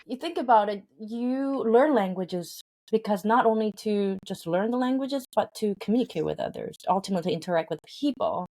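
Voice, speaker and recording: A woman's voice: mid-range voice, lively intonation, normal speed, few pauses, warm tone. A woman, a company founder, talking in an interview, explaining earnestly with many fillers and restarts. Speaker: founder of a language game company, guest. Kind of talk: interview conversation.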